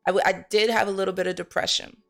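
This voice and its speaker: A young woman chatting casually and candidly as an interview guest, telling stories in an animated way. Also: mid-range voice, rapid-fire, creaky. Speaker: guest actress being interviewed.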